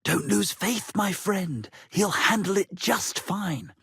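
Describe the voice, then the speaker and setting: A pompous medieval poet and musician from a video game, speaks with florid theatrical flair. His voice is husky and swings widely in pitch, in a dry, close recording. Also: animated, slow pace, pushed and bright, no echo, full character voice. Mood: lofty, lyrical. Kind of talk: theatrical game character dialogue.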